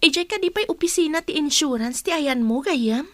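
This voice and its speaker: A woman's voice: high voice, expressive, normal speed, no room sound. A woman with an Ilocano accent playing a worried, caring mother and housewife in a radio comedy drama, speaking earnestly.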